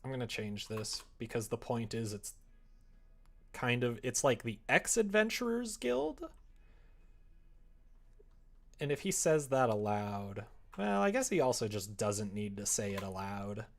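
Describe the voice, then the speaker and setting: A man chatting casually on a livestream as he works at a computer, thinking out loud and joking as he goes. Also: medium pitch, very animated, normal speed, gravelly. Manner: musing aloud, joking, reads chat and drafts aloud. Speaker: streamer writing a visual novel. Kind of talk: casual livestream chat while writing. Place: indoors at a computer desk.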